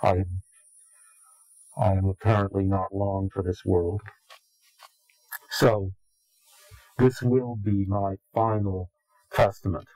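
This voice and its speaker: Low mellow guy talking slow and grave, lots of pauses, bit of music behind. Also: level tone, no echo, poor audio. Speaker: interviewee. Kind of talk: ominous recorded interview.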